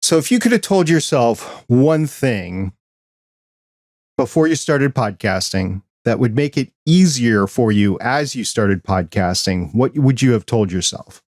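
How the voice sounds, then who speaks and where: A man's voice: mid-range voice, expressive, unhurried, a little mellow, dry recording, clean studio sound. A man hosting a podcast interview, asking friendly, curious questions in a casual chat. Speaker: podcast host.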